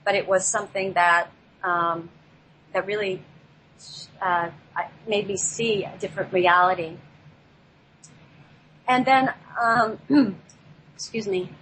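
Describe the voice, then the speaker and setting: A woman telling a personal story on a talk show in a halting, reflective way, picked up by a laptop microphone. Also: medium pitch, steady tone, fairly bright tone. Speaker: guest telling their life story. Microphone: laptop built-in microphone, not headset. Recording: noisy, off-mic laptop microphone.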